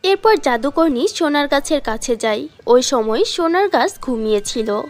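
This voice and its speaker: A woman's voice: high-pitched, animated, moderate pace. A woman reading scripted fairy-tale narration as a storyteller.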